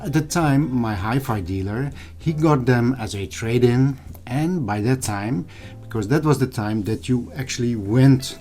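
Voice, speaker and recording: A middle-aged man narrating a vlog in a measured, explanatory way, speaking directly to his viewers as the host. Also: medium pitch, a little mellow. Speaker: video channel host. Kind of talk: scripted vlog narration over old photos and footage. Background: music, guitar.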